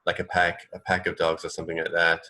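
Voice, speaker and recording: A man with a low voice hosts a relaxed, conversational radio show. He feels his way through explanations, rambling a little and pausing to find the next phrase. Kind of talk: casual co-hosted radio chat.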